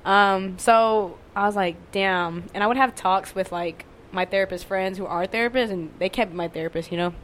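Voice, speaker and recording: A young woman with a fairly monotone voice, talking candidly and casually, swearing and using lots of fillers. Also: mid-range voice, moderate pace, few pauses, slight background noise. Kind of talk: casual conversation.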